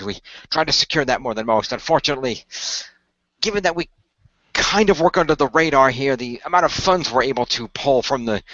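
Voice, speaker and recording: A man narrating an improvised role-playing story as game master, explaining things in a halting way. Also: mid-range voice, a bit bright, dry recording, lo-fi sound. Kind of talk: improvised storytelling and in-character talk for an audio role-play.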